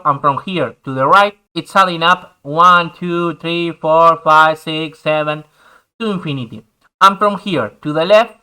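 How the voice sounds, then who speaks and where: A man's voice: fairly high pitch, sharp tone, low-quality recording. A young man whose first language is Spanish, patiently explaining a game map editor step by step in English. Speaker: tutorial host. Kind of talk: screen-recorded game tutorial.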